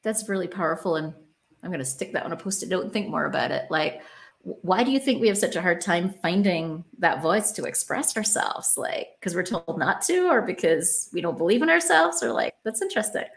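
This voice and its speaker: Fast-talking woman hosting an interview. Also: lowish voice, expressive, creaky. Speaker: podcast host interviewing an author. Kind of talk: casual interview chat.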